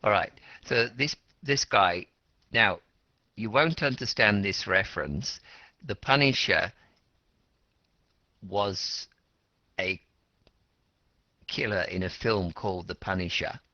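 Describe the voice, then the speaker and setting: A man's voice: lowish voice, animated, slow pace, long pauses, sharp tone, no room sound. A man teaching an English class, questioning students and explaining words simply with repetition and rephrasing. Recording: dull, lo-fi classroom recording.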